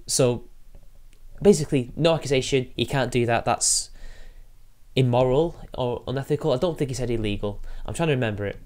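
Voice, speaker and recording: Casual male streamer, mid-pitched, a bit sniffly, rambling commentary to chat. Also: brisk. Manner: rambling, talks to chat while fiddling with software. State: sniffly from allergies.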